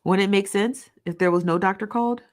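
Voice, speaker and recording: A woman hosting a commentary show, arguing her case with emphatic repetition and reading viewer comments. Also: medium pitch. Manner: emphatic, repetitive, argumentative, with quick laughs.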